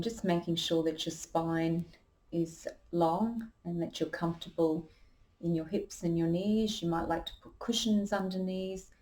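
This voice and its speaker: A woman leading a guided meditation as a yoga teacher, calm and unhurried, giving gentle instructions. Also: fairly low pitch.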